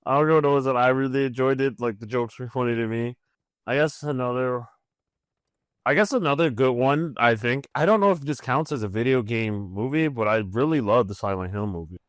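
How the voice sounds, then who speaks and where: A man of medium pitch chats casually as a podcast co-host. His delivery is easygoing and plain, with a dry, close sound. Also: a little fast, clear, resonant tone, dry recording. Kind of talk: casual panel chat.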